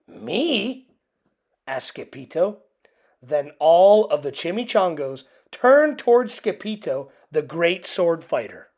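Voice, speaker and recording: A woman reading a children's picture book aloud in an expressive, theatrical way with dramatic pauses. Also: on the low side. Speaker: storybook reader.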